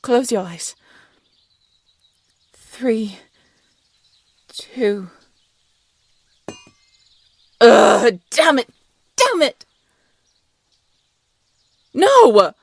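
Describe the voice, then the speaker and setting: Woman voicing a gruff young huntress, blunt, sarcastic, secretly soft-hearted, husky edge, dry close sound, audio drama. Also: a little high, wildly swooping pitch, very slow, long pauses, dry recording, pitched above the performer's own voice, heavily acted. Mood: frustrated, anguished. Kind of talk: scripted roleplay audio drama acting.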